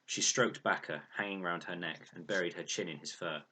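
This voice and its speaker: A man reading a children's novel aloud as an expressive storyteller. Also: low voice, normal speed, breathy edge. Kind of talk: expressive children's audiobook narration.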